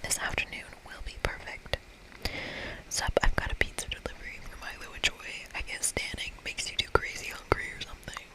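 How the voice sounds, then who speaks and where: ASMR host, fully whispered and breathy, reading game dialogue aloud. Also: fairly quick, low-quality recording.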